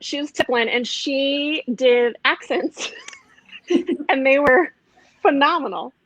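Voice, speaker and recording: A woman's voice: high-pitched, takes it slowly, fairly bright tone, poor audio. A woman from a comedy troupe in a casual video chat, chatty and self-mocking.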